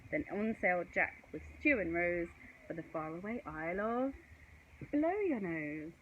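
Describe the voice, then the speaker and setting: A woman reading a children's picture book aloud in an expressive, sing-song way, with chatty asides to young viewers. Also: on the low side, unhurried, narrow, tinny audio, slight background noise. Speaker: story reader.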